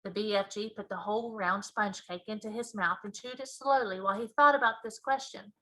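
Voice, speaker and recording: A woman reading a children's storybook aloud as a teacher, with expressive narration. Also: medium pitch, a little fast, poor audio.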